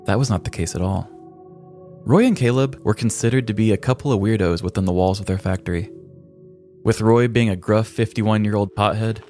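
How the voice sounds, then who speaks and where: A man hosting a podcast, telling a comic story in a wry, deadpan scripted narration. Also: fairly low pitch.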